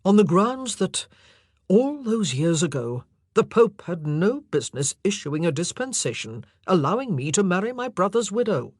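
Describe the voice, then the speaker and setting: A narrator voicing an anguished, impassioned and forceful male monarch in an audiobook drama. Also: medium pitch, sing-song, normal speed, dry recording, clean studio sound, voice pushed up. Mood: insistent.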